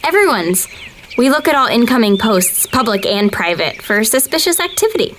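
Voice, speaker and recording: An actress playing an earnest young female government video analyst who speaks with calm customer-service politeness. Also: fairly high pitch, normal speed, noisy background. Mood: polite. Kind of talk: audio drama acting.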